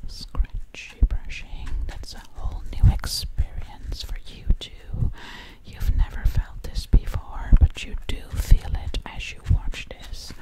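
A woman doing ASMR in a breathy whisper, very close to the mic, soothingly repeating words over and over. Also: rough recording. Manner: slow, soothing, hypnotic repetition of words. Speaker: ASMR video creator. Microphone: very close ear-to-ear microphone.

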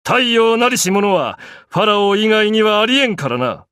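An actor playing an arrogant, grandiose young pharaoh in an anime game, declaiming in an imperious, pompous way. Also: male voice, high voice, big pitch swings, normal speed, fairly bright tone, smooth, no echo, studio quality. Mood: proud, commanding.

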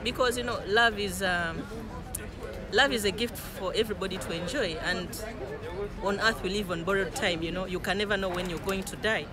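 A young woman gives an earnest, halting video message outdoors in a city square. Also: medium pitch, a crowd in the background. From Uganda.

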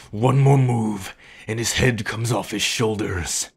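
A man playing a sneering, cruel werewolf crime boss in an audio drama, raspy and threatening. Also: on the low side, big pitch swings, slow, full character voice. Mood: menacing, gloating. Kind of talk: amateur audio roleplay drama.

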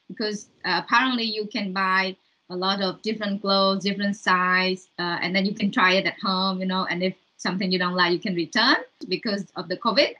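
Bright young Vietnamese-accented woman. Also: medium pitch, low-quality recording. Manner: chatty, with fillers and non-native grammar. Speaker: interviewee, international student. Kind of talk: casual interview answers. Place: room at home, indoors. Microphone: video call.

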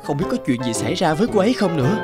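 Earnest, fretful young scholar. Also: male voice, a little high, big pitch swings, very fast. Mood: worried. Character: anxious, devoted young scholar husband. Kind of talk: children's cartoon dubbing. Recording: music under the voice.

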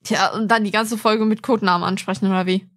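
A woman's voice: mid-range voice, fairly even intonation, fast talker, dry recording, studio quality. A woman co-hosting a podcast, chatting casually in short remarks with bits of English slang. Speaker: podcast co-host. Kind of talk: casual chat.

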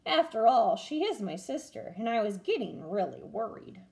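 A woman's voice: on the high side, moderate pace, creaky. A woman in her twenties, a teacher, expressively reading a picture book aloud to children at home. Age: 20s. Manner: expressive storytelling. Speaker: first-grade teacher. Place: small room at home, by a window.

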